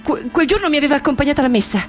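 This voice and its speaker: An older mother reminisces in a radio play over clearly audible music. She speaks wistfully and haltingly, doubting her own memory and correcting herself as she goes. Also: high voice, lively intonation. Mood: confused, searching. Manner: hesitant, self-correcting, wistful, questioning herself. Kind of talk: radio drama monologue. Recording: music under the voice.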